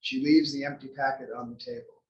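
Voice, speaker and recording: A man's voice: medium pitch, flat delivery, brisk, dull audio, a little hiss or hum. Man narrating script, distant and echoey. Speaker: narrator at a table read. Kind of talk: scripted narration of stage directions. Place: library room before an audience. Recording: echoey room, distant mic.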